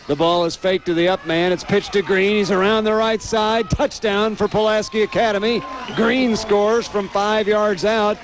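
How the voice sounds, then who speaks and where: A man's voice: high-pitched, level tone, moderate pace, hardly any pauses. An American man doing live radio play-by-play commentary of a football game.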